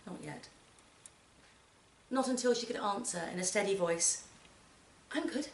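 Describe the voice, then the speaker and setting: A woman giving a measured, expressive live reading of a short story, with deliberate pauses. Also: medium pitch. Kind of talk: dramatic literary reading to a live audience. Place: performance space in a library centre. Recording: roomy, distant mic, background noise.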